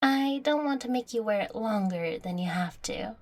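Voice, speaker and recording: A woman's voice: fairly high pitch, animated, moderate pace, a little mellow, slight background noise, natural voice. A woman playing a playful, affectionate young catgirl girlfriend in an intimate audio roleplay, teasing, coaxing and tender with the listener. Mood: excited.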